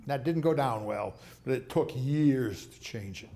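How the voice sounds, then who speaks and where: A man's voice: mid-range voice, expressive, measured pace, vocal fry, dark tone. Chuckling man lecturing in a roomy hall. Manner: rambling, chuckling asides. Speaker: speaker on foreign policy. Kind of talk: lecture answering audience questions.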